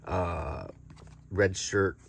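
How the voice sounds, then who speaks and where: A man's voice: low voice, steady tone, drawn-out words, vocal fry, slight background noise. A middle-aged or older man giving unscripted, casual show-and-tell commentary in an easygoing, rambling way, thinking aloud. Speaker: host of a hobby collecting channel.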